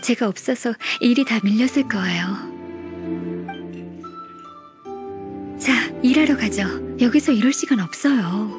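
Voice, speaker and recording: A woman playing a gentle, earnest, modest young secretary in a video game, speaking softly and politely. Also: a little high, music in the background, natural voice. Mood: resolute. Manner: soft, polite, measured.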